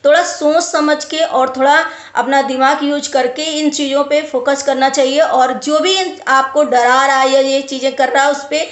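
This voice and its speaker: A woman's voice: sits high, steady tone, moderate pace, hardly any pauses. A woman vlogger talking casually to viewers and giving advice, explaining with emphatic repetition. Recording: roomy, echoey sound.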